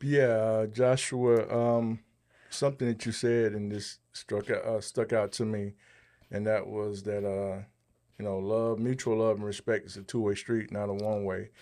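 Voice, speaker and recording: A man's voice: lowish voice, level tone, moderate pace, dark tone. A man hosting a podcast, talking conversationally and giving advice. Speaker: podcast host.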